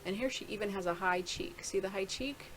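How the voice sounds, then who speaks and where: A woman's voice: mid-range voice, a little fast, fairly bright tone, slight background noise, low-quality recording. A middle-aged woman casually talking through a screen-share video blog, rambling and thinking aloud with many restarts. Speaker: video blogger.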